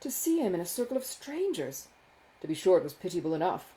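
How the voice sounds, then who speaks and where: A woman voicing a foppish, self-satisfied young gentleman in a classic novel, with an affected, gushing, condescending manner. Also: on the low side, sing-song, fast, low-quality recording. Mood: mock concern. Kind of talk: dramatised audiobook dialogue from a classic novel.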